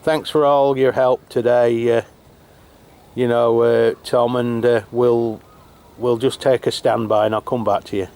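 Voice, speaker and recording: A man's voice: mid-range voice, level tone, unhurried, noisy background. A man from England reviewing a radio outdoors on a cold, wet hilltop, talking casually with lots of fillers and restarts. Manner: chatty, full of fillers and restarts. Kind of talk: casual radio chat to camera. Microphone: handheld radio mic and camera.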